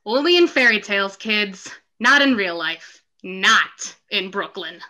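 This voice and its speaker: A woman's voice: mid-range voice, lively intonation, unhurried, bright, forceful tone, voice pushed down. A young woman playing a skeptical, no-nonsense male plumber in an emphatic screenplay reading. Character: skeptical, stern Brooklyn plumber man.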